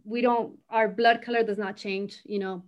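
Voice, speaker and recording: A woman's voice: medium pitch, fairly even intonation, moderate pace, highs cut off. A woman speaking as a guest in a casual interview, talking freely and often adding "you know" and "right?". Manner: talkative, peppers speech with "you know" and "right?".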